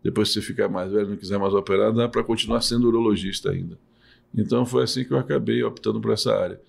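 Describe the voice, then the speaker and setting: A man's voice: lowish voice, fairly even intonation, racing, creaky, husky. A middle-aged man from Bahia, a urologist and professor explaining things patiently in an interview, often restarting his phrases. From Salvador, Bahia, Brazil.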